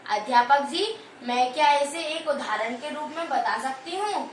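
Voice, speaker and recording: A woman's voice: sits high, measured pace. A woman playing a female student in an amateur skit, reciting her lines in an earnest, declamatory way. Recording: echoey room, distant mic, faint hiss.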